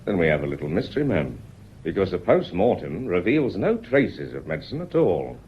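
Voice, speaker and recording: An actor playing a shrewd, folksy middle-aged British police inspector in a radio drama, politely probing with measured questions. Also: male voice, deep voice, sing-song, breathy edge, muffled recording, full character voice. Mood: genial, probing. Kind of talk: period radio drama acting.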